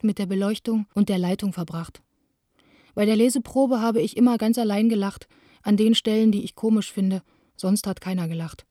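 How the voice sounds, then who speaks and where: A woman's voice: medium pitch, dark tone, no echo, clean studio sound, natural voice. An actress playing a young woman theatre director who reads her letters in a radio drama, reflective, plain-spoken and confiding.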